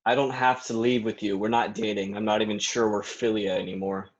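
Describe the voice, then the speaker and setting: A male actor plays a sarcastic young man with a fairly low voice, snide and bitter as he needles his friends. It is a staged play reading, captured with dull, lo-fi sound. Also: flat delivery, brisk, lightly acted. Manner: snide, mocking. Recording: dull lo-fi recording.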